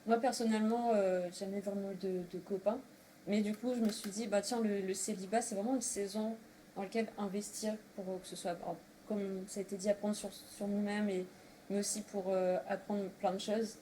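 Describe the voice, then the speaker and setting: A woman's voice: medium pitch, fairly even intonation, fairly quick, dark tone. A woman of thirty-two from northern France, talking casually as a guest in a discussion. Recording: faintly noisy room recording.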